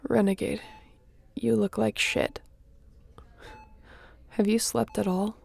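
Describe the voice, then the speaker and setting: A woman playing a wounded starship captain in a sci-fi audio roleplay, wry and caring, speaking haltingly and softly with a breathy, gravelly voice. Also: mid-range voice, level tone, full character voice. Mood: weary, wry. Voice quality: gravelly, often breathy and whispery.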